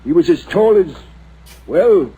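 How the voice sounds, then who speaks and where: Scared rustic old watchman, humble dialect, husky and mellow, lo-fi archive sound. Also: sits high, lively intonation, measured pace, full character voice. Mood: fearful. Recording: dull lo-fi archive recording.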